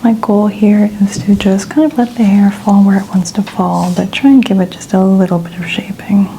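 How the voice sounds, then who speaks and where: A woman speaking in a soft, breathy, often whispered ASMR style, gently explaining a hairstyling routine step by step. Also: medium pitch, level tone, normal speed, slight background noise, rough recording. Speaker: ASMR host playing hairstylist.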